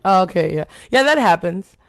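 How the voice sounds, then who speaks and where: A woman with a deep voice hosting a live talk show, chatting casually and rambling with laughs. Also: animated, normal speed, no echo, studio quality. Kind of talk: casual live commentary chat.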